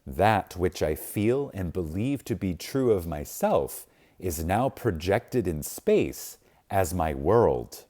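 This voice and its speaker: A man with a dark, relaxed voice gives a reverent reading of a spiritual text. His intonation swings widely for dramatic emphasis, and he moves slowly and solemnly, leaving deliberate pauses between phrases. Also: low-pitched. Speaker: audiobook reader.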